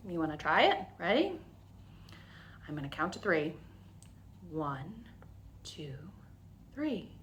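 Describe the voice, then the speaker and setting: A woman's voice: lowish voice, sing-song, slow, long pauses, a bit bright, a little hiss or hum. A woman hosting a story time for young children, explaining things in simple, instructional phrases.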